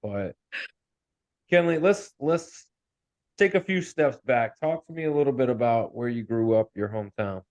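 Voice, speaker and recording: A man co-hosting a podcast, teasing and animated, who often stumbles over the first words of a line. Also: mid-range voice, moderate pace. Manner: animated, teasing, stammers at starts. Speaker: podcast co-host. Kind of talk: casual interview chat.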